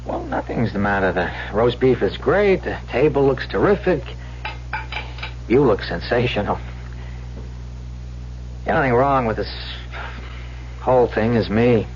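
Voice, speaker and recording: An actor playing a small-time swindler pleading with his sweetheart in an old radio drama, speaking in halting, repetitive street slang. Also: medium pitch, expressive, close to an everyday voice. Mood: self-pitying, troubled. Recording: dull, hissy vintage radio recording with hum.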